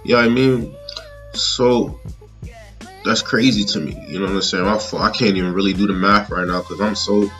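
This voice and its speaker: A thirty-year-old man giving a casual personal monologue to viewers, rambling and halting, often checking in with the listener. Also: fairly low pitch, fairly even intonation, a bit bright, faint music behind. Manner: rambling, halting, often checking in with "you know what I'm saying". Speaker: vlogger.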